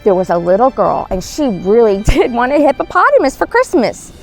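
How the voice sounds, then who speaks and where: A woman with a high voice reads aloud to young children as a storyteller. Her delivery is highly animated and exclaiming, swinging widely in pitch to coax the listeners along. Faint music plays behind her. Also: brisk, rough recording. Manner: animated, exclaiming, coaxing, dramatic gasps. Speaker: costumed storyteller as Mrs. Claus.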